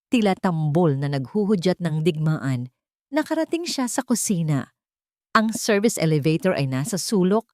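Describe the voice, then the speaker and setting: Female narrator for serialized love story: fairly low voice, expressive, animated intonation, scripted dramatic delivery. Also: no echo, studio quality.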